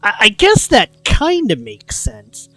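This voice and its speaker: This is a livestreamer reading a story aloud with a very animated, swooping delivery. The pace is unhurried and the sound is close and dry, with clear music playing underneath. The manner is casual, with goofy asides slipped between the narration. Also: medium pitch, no echo. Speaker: streamer reading a visual novel aloud.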